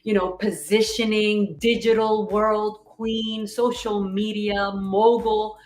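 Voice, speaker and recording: A woman's voice: medium pitch, steady tone, takes it slowly, echoey room. A woman hosting a livestream interview, effusive and upbeat, asking a string of rhetorical questions. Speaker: podcast host.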